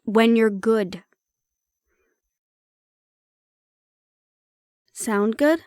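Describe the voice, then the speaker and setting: A woman's voice: a little high, slow pace, darkish tone, smooth, no room sound, studio quality. A woman playing a prickly, guarded banshee-siren hybrid in an intimate audio roleplay, explaining herself conversationally with some teasing.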